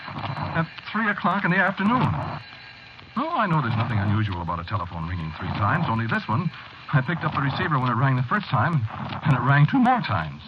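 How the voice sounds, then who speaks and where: An actor playing an anxious, haunted office man, confiding haltingly in an old-time radio horror drama. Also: medium pitch, huge pitch swings, noisy background. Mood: agitated, unnerved. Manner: halting, brooding, confiding in the listener. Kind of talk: old-time radio horror acting, first-person narration. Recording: dull old radio drama recording, music behind.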